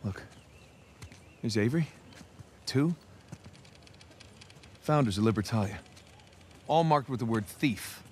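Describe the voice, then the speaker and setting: A wry, lively American adventurer. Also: male voice, medium pitch, slow, long pauses, a little hiss or hum. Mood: wry, curious. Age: 30s. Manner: sardonic, conversational, casual. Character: wry, wisecracking male treasure hunter in his thirties. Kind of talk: video game cutscene acting.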